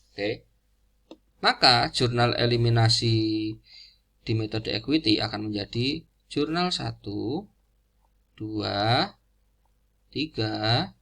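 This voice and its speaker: A man giving an informal explanatory lecture in a didactic, halting way, repeating key phrases as he goes. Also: mid-range voice, gravelly, fairly bright tone. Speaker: accounting lecturer.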